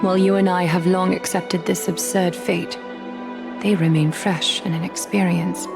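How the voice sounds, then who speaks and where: An actress playing a grave, commanding noblewoman in a game, speaking formally and deliberately. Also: on the low side, flat delivery, gravelly. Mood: grave, pleading. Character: grave, commanding noblewoman addressing her old teacher. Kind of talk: English game voice acting. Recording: loud music behind.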